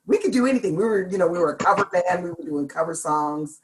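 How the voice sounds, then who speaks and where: Woman, deep and gravelly, middle-aged, chatty, laughs a lot. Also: animated, quick pace. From Seattle, United States. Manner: chatty, laughs often, jokes about herself. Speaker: guest singer. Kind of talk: casual interview chat.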